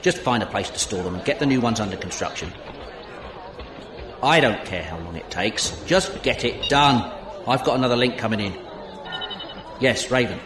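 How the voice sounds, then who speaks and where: A man plays a harried factory director in a science fiction audio drama. He is curt and bossy, with a medium-pitched voice, in an echoey space with clear background noise. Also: lively intonation, normal speed, long pauses, natural voice. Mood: impatient, brusque.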